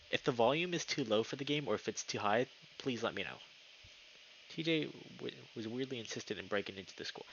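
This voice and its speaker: A man's voice: lowish voice, fairly bright tone, dull audio, a little hiss or hum. A man live streaming a game, chatting casually and reading the story text aloud, sometimes tripping over words. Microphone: streaming mic with noise filtering.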